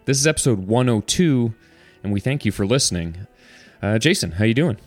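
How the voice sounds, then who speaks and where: Deep-voiced relaxed male podcast host. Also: a little fast, dry recording, faint music behind. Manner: relaxed, rambling, frequent restarts. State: slightly congested. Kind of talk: casual podcast chat.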